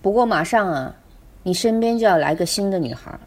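A woman's voice: medium pitch, lively intonation, a little fast, a little mellow, pitched below the performer's own voice, close to an everyday voice. A woman acting a mysterious, bargaining fortune-teller in a comic radio play, speaking in terse, cryptic pronouncements. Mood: cryptic, calm.

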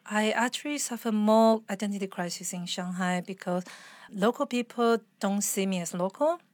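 A woman's voice: medium pitch, moderate pace, a little mellow, no room sound. A woman with a mixed international accent speaks as a guest in a conversational interview. She is earnest and reflective, explaining her thoughts expressively. The recording is very dry, with little room sound.

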